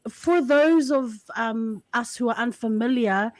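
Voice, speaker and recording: An enthusiastic woman hosts a podcast, her voice fairly high and up close. The sound is dry, with no room echo, and her talk is casual and full of easy fillers. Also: a little slow. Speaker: podcast host, educator. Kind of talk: interview host chat.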